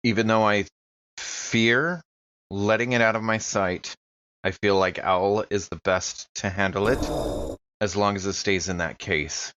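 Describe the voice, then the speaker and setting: Low, forceful male roleplayer, slightly muffled. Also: fairly even intonation, measured pace, a little hiss or hum. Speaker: player in a tabletop role-playing game. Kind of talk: in-character roleplay and game talk.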